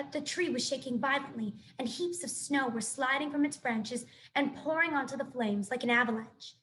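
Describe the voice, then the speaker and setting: A teacher reading a children's novel aloud to her students in an expressive storytelling manner. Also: high-pitched, a little hiss or hum, poor audio. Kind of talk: children's book read-aloud.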